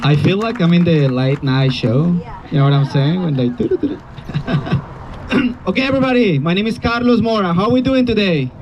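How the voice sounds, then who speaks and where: A man's voice: high-pitched, animated, moderate pace. A man from Colombia with a Colombian accent and Spanish as his first language, giving an informal, personable speech as a community organizer. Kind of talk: informal public rally speech. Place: outdoor rally near a road. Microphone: handed-around PA microphone. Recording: dull lo-fi audio, faint vehicle noise.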